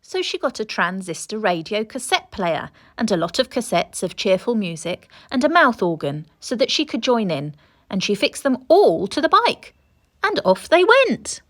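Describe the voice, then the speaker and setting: A woman storyteller with a middle-pitched voice reads a picture book aloud for children. Her delivery is highly animated, with lots of rise and fall. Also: moderate pace. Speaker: storybook reader. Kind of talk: expressive scripted story reading for children.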